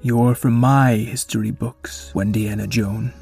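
A man voicing a weary, wise old male triceratops in a children's story, speaking slowly and low over faint music. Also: steady tone, gravelly, a little mellow, slightly rough, exaggerated voice. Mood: grave. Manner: slow, low, grave.

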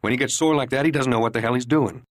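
A man voicing a measured, cautious male mob adviser who gives orders in an audiobook. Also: mid-range voice, no echo, studio quality, voice pushed up, close to an everyday voice. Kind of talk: audiobook character dialogue.

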